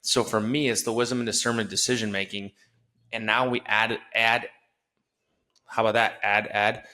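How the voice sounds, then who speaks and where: A man's voice: fairly low pitch, level tone, moderate pace, sharp tone. A man with a Wisconsin accent hosting a podcast, talking earnestly and conversationally and asking follow-up questions. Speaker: podcast host. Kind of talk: casual podcast interview.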